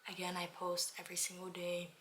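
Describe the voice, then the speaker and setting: Bright-voiced chatty young woman vlogger. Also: fairly low pitch, completely flat delivery, brisk, slight background noise. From Miami, United States. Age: 20s. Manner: chatty, upbeat, many fillers like "um" and "like". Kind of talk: casual get-ready-with-me chat.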